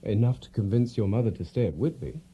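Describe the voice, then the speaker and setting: An actor playing a worried, tender Victorian gentleman in an audio drama, breathy, gentle and earnest. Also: fairly low pitch, expressive, fast. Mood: tender concern. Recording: dull, muffled recording.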